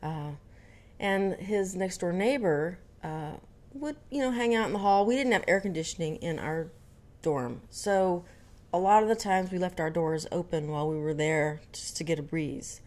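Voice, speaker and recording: A woman's voice: lowish voice, normal speed, darkish tone. A young adult woman from Dallas with no regional accent, telling a story casually to camera with lots of fillers and restarts. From Dallas, Texas. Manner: chatty, many fillers and restarts. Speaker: vlogger.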